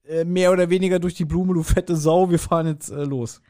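A man's voice: on the high side, steady tone, fairly quick, warm tone. A man co-hosting a podcast, casually discussing an audio drama in a colloquial, speculative way. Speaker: podcast co-host.

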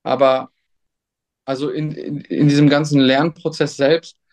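A man's voice: on the high side, level tone, measured pace, a little mellow. Hesitant young man, thinking aloud. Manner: hesitant, many fillers and restarts, thoughtful. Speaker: substitute teacher, guest. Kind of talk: casual interview answers.

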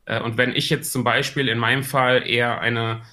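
A man's voice: mid-range voice, flat delivery, moderate pace, pushed and bright. A man with a Hessian accent, a podcast guest talking about marketing in a thoughtful way with many filler sounds. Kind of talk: casual podcast interview.

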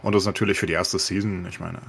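A man's voice: low voice, level tone, rapid-fire, creaky, a bit bright, husky. A man chatting casually as a podcast panelist about games, in colloquial speech sprinkled with English gaming slang.

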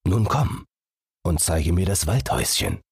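A kindly storybook king, played by a man, gentle yet commanding. The voice is very low and husky, with slow, stately phrasing. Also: big pitch swings, no echo, studio quality, full character voice.

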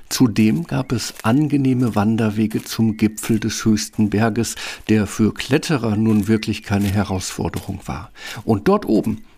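A man narrating a fairy tale from a script in a wry, measured storytelling manner. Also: lowish voice, vocal fry, a little mellow, breathy edge.

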